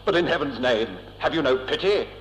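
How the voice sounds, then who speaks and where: An earnest spaceman played by a man with a mid-pitched, very animated delivery, dramatic in the manner of old radio serials. The sound is a dull, reverberant tape. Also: brisk, lightly acted. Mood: indignant. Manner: dramatic, questioning, expressive. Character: earnest, indignant male space explorer held captive. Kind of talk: vintage science-fiction radio drama acting. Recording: muffled, hissy old tape, long reverb.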